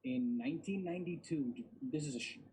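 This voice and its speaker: A man's voice: a little high, fairly quick, warm tone, slight background noise, low-quality recording. A man in his thirties from Bosnia and Herzegovina, a guest talking casually and emphatically, repeating his words. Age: 30s. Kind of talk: casual interview talk.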